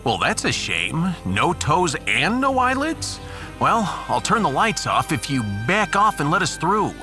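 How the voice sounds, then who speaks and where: A man's voice: on the high side, very animated, unhurried, music in the background, voice pushed up. A man playing a wry, resolute and hopeful starship captain in a sci-fi audio drama, speaking earnestly.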